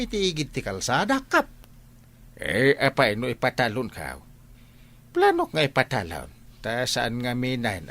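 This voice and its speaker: A man playing an animated, exclaiming village character in an Ilocano comedy radio drama, with an Ilocano accent. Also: mid-range voice.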